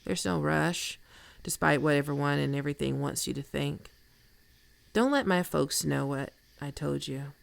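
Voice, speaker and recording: Woman acting a very creaky, teasing flirt. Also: low voice, dry recording. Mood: wry, sympathetic. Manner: casual, teasing, unhurried. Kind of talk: intimate ASMR roleplay acting.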